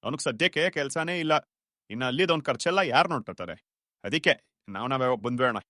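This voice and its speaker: A man's voice: medium pitch, expressive, fast talker, pushed and bright, dry recording, studio quality. A man voicing a bossy, selfish young friend in a cartoon story, slangy and dismissive. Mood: scheming scorn. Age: young adult. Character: brash, selfish young ringleader of college friends. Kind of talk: cartoon short story dialogue.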